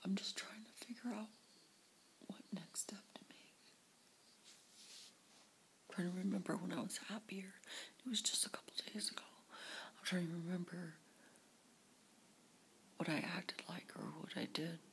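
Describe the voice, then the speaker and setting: A woman whispering into her camera for a personal video diary, halting and repeating her words. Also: on the low side, fairly even intonation, fairly bright tone. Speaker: vlogger. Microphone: camera facing her.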